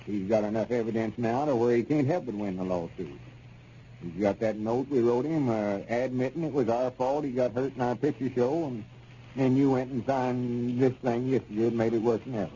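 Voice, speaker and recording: Man acting a very dark-voiced exasperated country storekeeper. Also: mid-range voice, normal speed, lightly acted. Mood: exasperated scolding. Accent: Southern US, rural Arkansas. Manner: folksy, fussing, lecturing. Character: exasperated rural storekeeper, scolding his bumbling partner. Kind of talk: 1930s radio comedy serial acting. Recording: dull, noisy 1930s radio recording.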